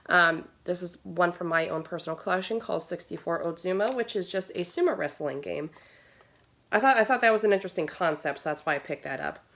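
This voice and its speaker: A woman's voice: fairly low pitch, fairly bright tone. An American woman in her thirties giving a casual, rambling video tutorial in a nasal, congested voice, recorded at home. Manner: informal, rambling with asides. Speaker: gaming video host. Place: small room at home, indoors. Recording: noisy home recording with handling clicks.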